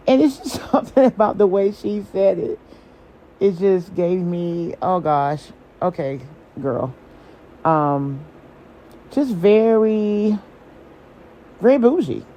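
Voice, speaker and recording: A hoarse woman, casually recapping a reality show episode in a chatty, opinionated way. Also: lowish voice, darkish tone, a little hiss or hum, low-quality recording. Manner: chatty, opinionated, drawling asides. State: hoarse from singing.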